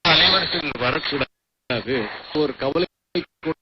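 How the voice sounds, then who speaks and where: An older man with an Indian Tamil accent speaks in a fairly high voice. He answers formally and in measured, literary phrases, heard through a dull, very noisy shortwave radio broadcast. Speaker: retired radio official, interviewee.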